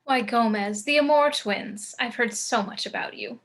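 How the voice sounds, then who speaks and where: A woman's voice: a little high, normal speed, fairly bright tone. A woman acting an elegant, gracious gothic matriarch with polite theatrical poise in a script table read. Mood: sweetly poised.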